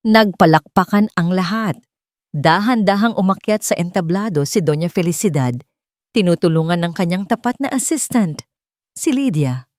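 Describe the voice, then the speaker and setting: Low-pitched woman narrating a dramatic story. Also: expressive, moderate pace, a little mellow, no echo, studio quality. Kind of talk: scripted dramatic narration of a Tagalog love story.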